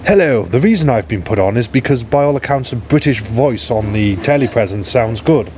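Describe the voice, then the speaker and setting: Welsh man, chatty live commentary, mid pitch, faint background hum. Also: expressive, fairly quick, a little mellow. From Wales. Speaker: scientist narrating a live dive broadcast. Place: ship control room.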